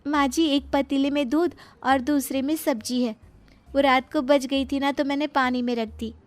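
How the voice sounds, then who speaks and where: A woman's voice: high-pitched, fairly even intonation, moderate pace, faint music behind, voice pushed up, natural voice. A woman voicing a naive, earnest young village daughter-in-law in a cartoon story, simple and polite to her elders. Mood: polite, explaining. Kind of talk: Hindi cartoon storybook dialogue.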